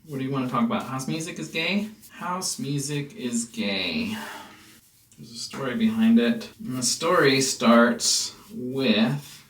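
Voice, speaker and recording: An American man tells a story casually to his viewers, wandering and pausing as he goes. His medium-pitched voice sounds set back from the microphone in a reverberant room. There is a little noise behind him. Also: a bit bright. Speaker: house music producer and DJ hosting a vlog. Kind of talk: casual chat, personal storytelling. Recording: roomy, distant mic with background noise.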